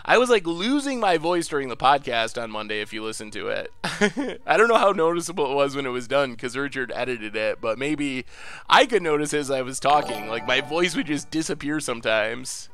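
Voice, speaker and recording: A man streaming and commenting on a card game in a casual, excitable way, laughing often, his voice a little congested. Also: medium pitch, fairly quick, no room sound, faint music behind.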